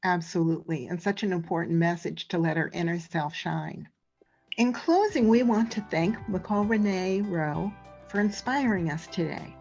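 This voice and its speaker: A woman's voice: on the low side, normal speed, darkish tone, lo-fi sound, faint music behind. A woman hosting an educational program in a scripted, encouraging and complimentary way, addressing families and teachers. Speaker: host of an educational read-along and draw-along program.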